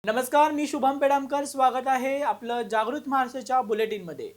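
A man's voice: extremely high pitch, a little fast, music in the background. A man reading scripted news as a bulletin anchor.

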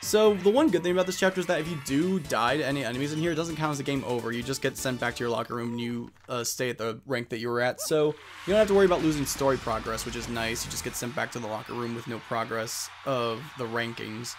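A man's voice: mid-range voice, fast, hardly any pauses. Chatty man commentating over game music. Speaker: let's player. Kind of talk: casual gaming commentary. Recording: music behind the voice.

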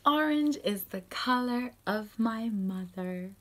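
Lady reading a picture book to little kids, takes it slow, really expressive, bit of background noise. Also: a little high. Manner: animated, slow, simple questions to young listeners. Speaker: children's storytime host and reader. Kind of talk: picture-book read-aloud for young children. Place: small room at home, indoors.

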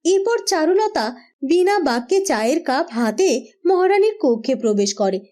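High-voiced woman story narrator. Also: sing-song, moderate pace, dark tone.

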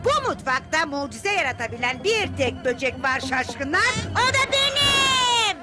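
A woman voicing a domineering, scornful aunt bug in a children's cartoon, loud and boastful. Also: very high voice, big pitch swings, measured pace, full character voice. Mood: scornful, commanding. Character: bossy, mocking aunt Margaret, boastful kitchen bug. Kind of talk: animated children's cartoon acting. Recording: loud cartoon music behind.

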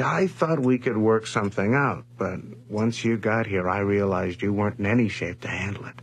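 An actor in a radio drama playing a nervous, scheming criminal who confesses haltingly. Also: male voice, on the low side, a little hiss or hum, close to an everyday voice. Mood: uneasy, pleading. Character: nervous, scheming small-time criminal, stealer of identity.

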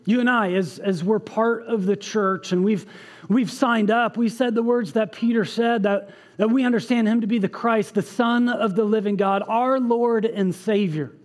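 A man's voice: high voice, fairly even intonation, moderate pace. A man in his thirties, a pastor preaching on a church stage, earnestly reading aloud with asides. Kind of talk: sermon. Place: church stage with band behind.

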